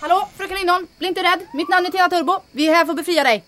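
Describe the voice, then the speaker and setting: An actress playing a bold, adventurous resistance captain who gives brisk commands with dry asides. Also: female voice, extremely high pitch, background noise. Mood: commanding. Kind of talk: audio drama acting.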